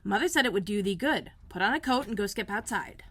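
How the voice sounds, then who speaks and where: A woman's voice: medium pitch, expressive, fairly quick, a bit bright. A woman reading aloud and voicing a cheerful, chatty young housemaid.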